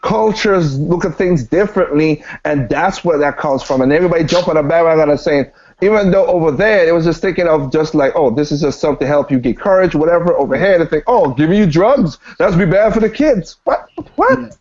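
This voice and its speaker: A man's voice: on the high side, lively intonation, a little fast, darkish tone, muffled recording. A man chatting casually and emphatically on a gaming podcast, slangy and given to repeating himself for emphasis. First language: not standard American English. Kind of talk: casual opinionated podcast chat.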